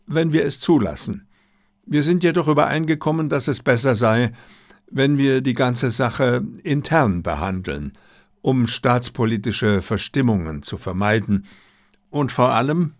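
Casting: male reader as Japanese diplomat and secret security officer, male, formal, courteous, discreet, raised pitch, audiobook. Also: dry recording, studio quality, natural voice. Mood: formal, explaining. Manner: courteous, measured, formally worded. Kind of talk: audiobook character dialogue.

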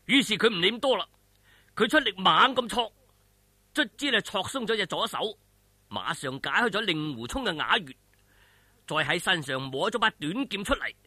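Animated, bright-voiced Cantonese storytelling man. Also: sits high, normal speed, breathy edge, no echo. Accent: Hong Kong Cantonese. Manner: theatrical, colloquial, suspenseful. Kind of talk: dramatic audiobook-style martial arts storytelling.

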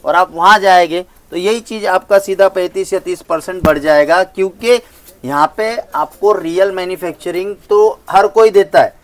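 A man in his thirties giving an emphatic sales pitch while walking through a large indoor saree showroom. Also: moderate pace. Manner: emphatic, persuasive, gestures while talking. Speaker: saree wholesaler. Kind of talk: sales pitch in a shop interview.

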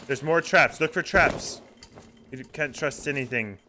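A man chatting casually while playing a co-op game, excitable and jokey, often exclaiming. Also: a little high, measured pace. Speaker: gaming video host and player. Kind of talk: casual chat during co-op game play. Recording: loud game noise and clicks behind. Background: pirate video game sounds.

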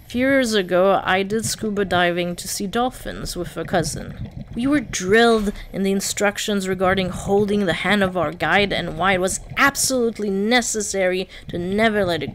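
A woman narrating a scripted vlog voice-over in a measured, slightly stiff reading manner. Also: medium pitch, background noise. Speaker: vlogger. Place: voice-over, not recorded underwater.

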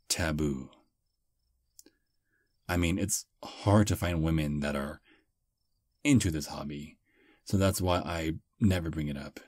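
A man playing a deep husky vampire suitor. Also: no echo, studio quality, full character voice. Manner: soft, relaxed, self-deprecating. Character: charming young-looking male vampire on a first date. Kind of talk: ASMR roleplay. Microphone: close mic.

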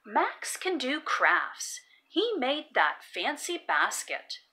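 A woman's voice: high voice, huge pitch swings, slow, pushed and bright. A woman reading a children's story aloud as host, expressively and emphatically, sounding out words for young learners.

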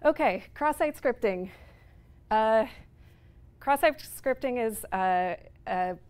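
Woman, 30s, speaker at a tech talk; plain mid voice, explanatory, lots of "um" and "uh", room mic. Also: medium pitch. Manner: explanatory, with frequent fillers. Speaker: conference speaker. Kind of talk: technical talk. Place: lecture room with projector screen.

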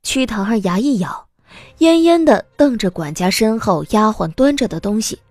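Female storyteller for scripted drama narration, fairly high pitch, expressive and varied delivery. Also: moderate pace, dry recording.

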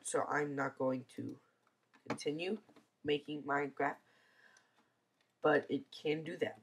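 Slow, congested teen software reviewer. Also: medium pitch. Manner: hesitant, many ums. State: congested and sniffling from a cold. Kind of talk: casual screencast review.

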